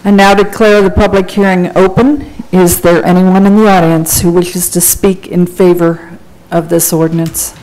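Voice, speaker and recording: A woman council president runs the meeting in a procedural, businesslike way. Her delivery stays flat and even throughout. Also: fairly low pitch, normal speed, few pauses, rough recording. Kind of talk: chairing a meeting. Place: council chamber.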